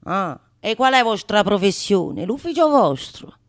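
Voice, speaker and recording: A woman acting a brash, needling male rogue in a radio comedy, loud, theatrical and sarcastic. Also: medium pitch, big pitch swings, a little slow. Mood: mocking, exasperated. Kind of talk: stage monologue radio drama.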